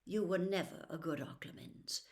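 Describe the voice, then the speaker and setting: A woman voicing a calm, elderly male wizard headmaster in a storybook, soft, measured and grave. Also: lowish voice, in a whisper, lightly acted. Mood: quiet concern. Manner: measured, calm, gentle gravity.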